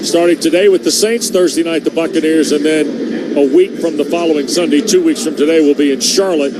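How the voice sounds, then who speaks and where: A man's voice: a little high, fairly quick. A man working as a radio play-by-play announcer, giving live football broadcast commentary. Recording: clear stadium noise behind.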